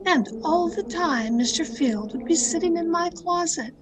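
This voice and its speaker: Woman acting a high-voiced, intense radio suspense heroine. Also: animated, normal speed, noisy background. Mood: tense. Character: thrill-seeking young woman narrating her murder tale. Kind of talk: 1940s radio suspense acting, scripted first-person narration. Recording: video-call radio play with music underneath. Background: dramatic music.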